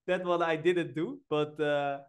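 A man's voice: a little high, steady tone, slow, a little hiss or hum. A man hosting a podcast, chatting casually and telling stories, with many restarts. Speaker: podcast host. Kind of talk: casual interview chat.

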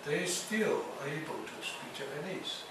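A man giving a university lecture, speaking haltingly with many fillers and restarts. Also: fairly high pitch, big pitch swings. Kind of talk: classroom lecture. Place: lecture hall. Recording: echoey lecture hall, distant mic, noisy.

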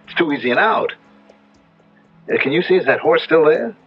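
An actor playing a practical, sceptical young city-bred husband in a 1970s radio drama. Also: male voice, on the high side, expressive, moderate pace, husky, lo-fi sound, soft background music. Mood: suspicious. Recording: band-limited, noisy old radio recording.

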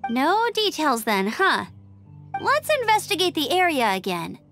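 A woman voicing a spirited, friendly young female scout in a video game, earnest and energetic. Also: sits high, measured pace, heavily acted. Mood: concerned, brisk. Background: music.

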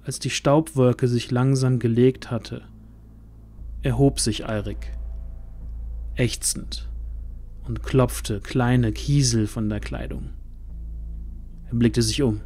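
A man narrating a fantasy audio drama in a suspenseful, deliberate way with dramatic pauses. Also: medium pitch, fairly even intonation, warm tone.

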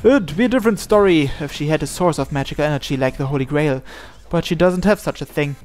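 A man's voice: on the high side, fast talker, darkish tone, faint music behind. A man narrating a visual novel aloud as host, reading the scripted text.

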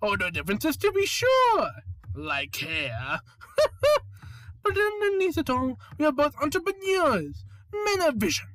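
A man voicing a gleeful, theatrical clown villain in a cartoon fan dub, mock-friendly and drawn-out. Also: extremely high pitch, wildly swooping pitch, fairly bright tone, dry recording, full character voice, poor audio. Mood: gleeful, smooth-talking.

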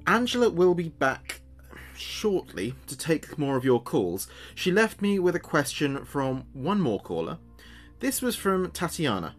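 A man's voice: fairly high pitch, big pitch swings, a little slow. A man playing a chatty small-town late-night radio host in an audio drama, with playful, wry patter. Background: background music.

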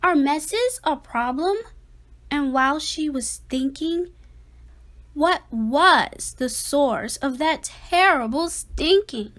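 Female storybook reader, high register, slow and expressive delivery, children's rhyming read-aloud. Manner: dramatic, deliberate phrasing.

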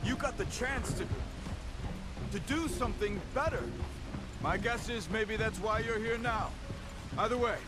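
A steadfast, frank outlaw scout in a western video game. His voice is fairly high for a man and measured, over prominent music. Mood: grave, encouraging. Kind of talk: cinematic western game dialogue. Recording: dramatic music bed underneath.

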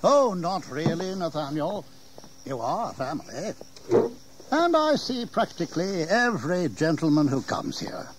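An actor playing a genial, snobbish colonial governor in a historical audio drama, patrician and condescending. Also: male voice, on the high side, very animated, measured pace. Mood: genial, patronizing. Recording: noisy 1980s audio drama tape.

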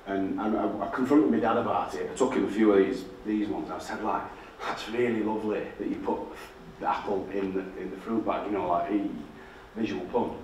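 A man's voice: on the low side, a little hiss or hum. Sweary Yorkshire man, echoey hall. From Yorkshire, England. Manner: blunt, sweary, self-deprecating humour. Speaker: designer giving a public talk. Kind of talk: casual storytelling talk with heavy swearing. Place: dark theatre stage with projector screen. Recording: echoey hall heard from a distance.

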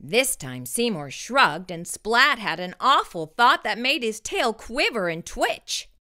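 Female narrator, children's read-aloud, lively intonation, slow and deliberate, dramatic pauses. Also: on the high side. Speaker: storytime host and narrator.

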